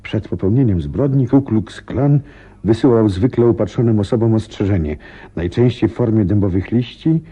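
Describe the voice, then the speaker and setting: An actor playing an earnest, curious male companion to a detective in a radio drama, measured when reading aloud. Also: fairly low pitch, warm tone, breathy edge. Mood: reading, absorbed. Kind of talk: Polish radio drama acting. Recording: dull, muffled old radio recording.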